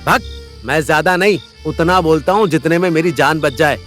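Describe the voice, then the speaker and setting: A man playing a wounded, defiant young man in an audio drama, speaking gravely and with labored effort through pain. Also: on the high side, moderate pace, a bit bright, faint music behind, close to an everyday voice. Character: wounded, defiant, world-weary young man facing death. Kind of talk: Hindi audio drama acting.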